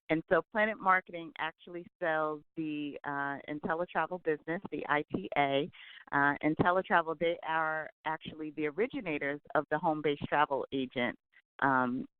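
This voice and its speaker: Upbeat woman, forties, phone interview guest; smooth voice, dry tinny line. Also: low voice, dry recording. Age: 40s. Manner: upbeat, enthusiastic, says "absolutely" often. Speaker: guest, travel business owner.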